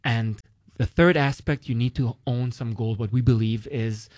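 A man's voice: mid-range voice, steady tone, moderate pace, a little mellow, dry recording, clean studio sound. Romanian-accented man explaining investments. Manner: uses many "like" and "you know" fillers, explains with analogies. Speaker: investment guest. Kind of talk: casual explanatory conversation.

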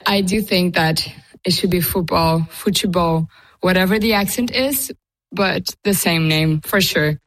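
A woman's voice: on the low side, a little slow, fairly bright tone, rough recording. A young woman from Brazil, a Portuguese speaker, introducing herself and answering as an interviewed intern.

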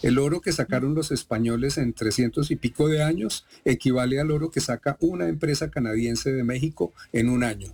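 A man's voice: medium pitch, brisk, vocal fry, hardly any pauses, darkish tone. A middle-aged man from Colombia with a Bogotá accent, a doctor explaining at length and with emphasis as an interview guest. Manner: lecturing, emphatic, digressive.

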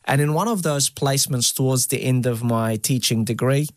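A man's voice: mid-range voice, normal speed, no echo, clean studio sound. A middle-aged man from Egypt, whose first language is Arabic, telling a personal story as an interview guest, with many fillers and restarts.

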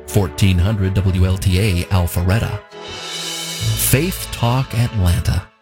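A man's voice: deep voice, slow pace. A man reading a scripted station identification for radio. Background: music bed.

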